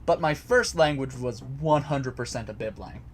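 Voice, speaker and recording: A man's voice: mid-range voice, normal speed, slight background noise. A man casually explaining a topic to a live chat from a home basement recording area, hesitant, with many fillers and restarts. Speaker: host answering chat.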